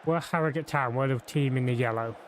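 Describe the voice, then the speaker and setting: A man's voice: mid-range voice, fairly quick, background noise. Casual man thinking aloud while gaming. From Yorkshire. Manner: casual, thinking aloud, frequent fillers and restarts. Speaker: streamer. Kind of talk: live game commentary and chat.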